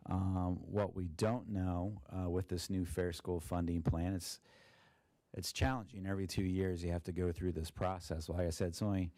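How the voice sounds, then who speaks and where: A man, a school district treasurer, presenting a budget at a board meeting in a monotone with many fillers and restarts, with an Ohio accent. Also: low voice, normal speed, no room sound. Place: meeting room.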